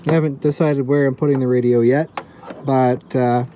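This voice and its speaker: A man's voice: mid-range voice, fairly even intonation, moderate pace, mellow, lo-fi sound, a little hiss or hum. A man giving a casual hands-on tutorial, thinking aloud informally as he works as a DIY repair host.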